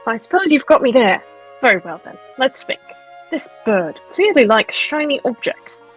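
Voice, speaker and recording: Game role: polite, earnest young gentleman played by a woman, higher-pitched, very animated, formal manners. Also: moderate pace, faint music behind, poor audio. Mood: polite, thoughtful. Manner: courteous, formal. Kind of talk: voiced video game playthrough.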